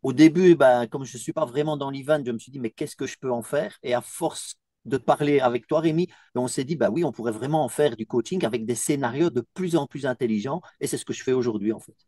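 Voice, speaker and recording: A man's voice: medium pitch, quick pace, few pauses, warm tone, no echo, clean studio sound. A man from Belgium who runs a team coaching business, speaking in an interview testimonial.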